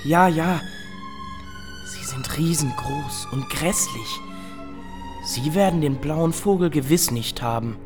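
A woman's voice: low voice, slow pace, long pauses, over loud music. A woman playing a polite, curious and persistent young child in a radio drama, with earnest, courteous, theatrical delivery. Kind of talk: German radio drama acting.

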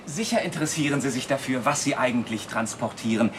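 An actor playing a polished, formal, long-winded art patron in a 1960s German television series. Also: male voice, fast, lightly acted. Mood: courteous, self-assured. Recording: noisy 1960s TV soundtrack with rumble.

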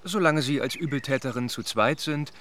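A man acting an earnest, modest young gentleman in a German radio drama, measured, polite and gently wry. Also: mid-range voice, a little hiss or hum, lightly acted.

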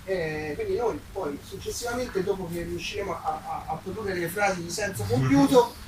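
A man's voice: high voice, moderate pace, background noise. A man presenting his books as their author in an informal, unscripted way, hesitant and rambling, often adding little tag questions. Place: public book presentation, recorded from a distance. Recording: echoey, distant mic, audible crowd.

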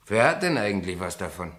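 An actor playing a naive but sensible, unassuming newcomer president in a German radio play, questioning and matter-of-fact. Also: male voice, lowish voice, a bit bright. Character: plain-spoken, naive, sensible new opera board president.

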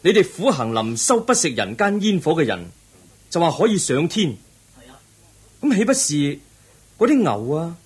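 An earnest, husky young truth-seeker. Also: male voice, a little high, sing-song, exaggerated voice. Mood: earnest, firm. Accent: Hong Kong Cantonese. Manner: measured, earnest, declamatory, preaching. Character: young prince seeking truth, calm, earnest, reasoning monk. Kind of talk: Buddhist radio drama acting.

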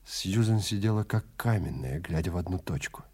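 Animated male narrator of a dramatic story. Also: low-pitched, moderate pace, slightly rough.

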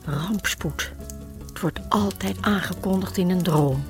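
Wary, low woman playing a superstitious lady. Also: measured pace, over music. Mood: uneasy. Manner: wary, insistent.

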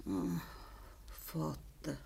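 An actress playing a gentle, ailing old farmer's wife in a radio play, speaking Bavarian dialect with a weak, resigned manner. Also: female voice. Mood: anxious, consoling. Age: older. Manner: slow, plain rural dialect speech. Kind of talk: naturalistic radio drama acting.

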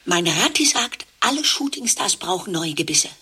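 An actress playing a vain, wealthy dentist's wife in a radio play, who gushes sweetly and mutters scornfully. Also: female voice, on the low side, very animated, normal speed, bright, forceful tone, breathy edge, exaggerated voice. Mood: catty. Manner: shifts between sugary gushing and muttered scorn. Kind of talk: radio drama acting.

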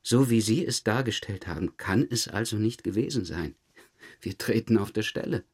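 A low male voice plays a police detective in a radio drama. He questions in a measured, insistent way, calm and dryly probing. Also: husky. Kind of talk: radio drama interrogation dialogue.

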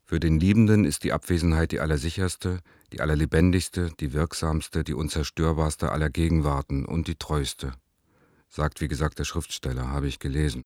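Wistful lover guy reading letters, super deep voice, bone dry studio, radio play. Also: fairly even intonation, moderate pace, a little mellow, no echo. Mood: wry, wistful. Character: Leon, male lover writing wistful, wry letters.

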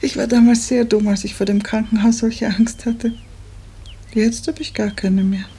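Soft, mellow, anxious young woman patient. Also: fairly high pitch, little pitch movement, normal speed, slightly rough. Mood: wistful, soft. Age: 30s. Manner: soft, wistful, questioning. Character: gentle, anxious thirty-year-old female hospital patient. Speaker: actress. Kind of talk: 1950s radio drama acting. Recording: noisy old 1950s radio recording.

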